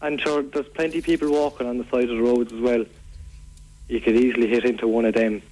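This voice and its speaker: Irish male, Waterford accent, acting as a fed-up local phoning in to radio, earnest, repetitive, mid-pitched. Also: level tone, fast, dark tone, natural voice. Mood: indignant. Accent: Irish, County Waterford. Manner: rambling, repetitive, earnest complaining. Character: aggrieved, rambling local man fed up with food-throwing youths. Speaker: radio phone-in caller. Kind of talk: prank call to a local radio show. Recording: phone call on local radio.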